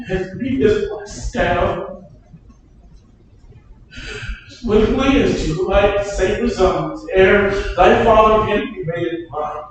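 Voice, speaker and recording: A woman playing a loyal, weary old duke, giving measured verse that swells into shouts. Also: close to an everyday voice. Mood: resigned. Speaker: actor in a staged reading. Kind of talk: stage reading of verse drama. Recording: echoey hall, mic far off, faint rumble.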